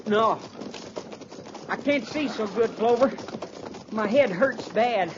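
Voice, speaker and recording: A man's voice: sits high, slow. A man playing a weak, wounded, stoic cavalry trooper in pain, in a 1950s radio drama. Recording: muffled, noisy 1950s radio recording.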